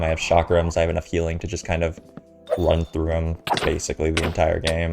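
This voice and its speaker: Young man, stuffy and congested, deep low voice, relaxed rambling game commentary with plenty of ums, background music. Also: gravelly. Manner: casual, filler-heavy, chatty. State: stuffy nose from a cold. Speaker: gaming commentator. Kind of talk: recorded gameplay voiceover commentary.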